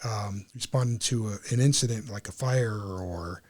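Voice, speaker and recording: A man's voice: fairly low pitch, moderate pace, darkish tone. A man speaking as a club member and guest in a casual interview, explaining things earnestly.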